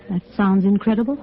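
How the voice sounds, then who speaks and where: A woman's voice: mid-range voice, close to an everyday voice. A woman acting an uneasy but principled American school principal in a 1950s radio drama, speaking hesitantly and earnestly. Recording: thin, narrow vintage radio recording.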